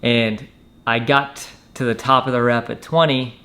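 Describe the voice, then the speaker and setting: A man telling a personal story in a casual, matter-of-fact way with hesitant pauses. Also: mid-range voice, flat delivery, pushed and bright. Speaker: storyteller, former Marine recruit.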